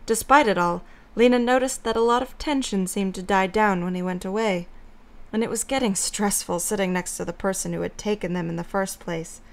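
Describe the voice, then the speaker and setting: A woman's voice: mid-range voice, brisk. A woman reading scripted story narration as the narrator of an audio drama.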